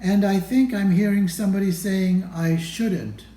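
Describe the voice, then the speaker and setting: Male storybook reader for children: unhurried, soft-edged tone, slightly high pitch, expressive delivery, roomy sound. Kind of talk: expressive children's picture book read-aloud.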